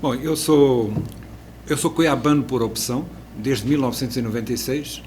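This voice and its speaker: A man's voice: mid-range voice, moderate pace, a little mellow. Portuguese-accented guy in his 60s, rambling storyteller on a podcast, faint background hum. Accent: European Portuguese. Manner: storytelling, with restarts and hesitant fillers. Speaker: guest. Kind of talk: casual podcast chat.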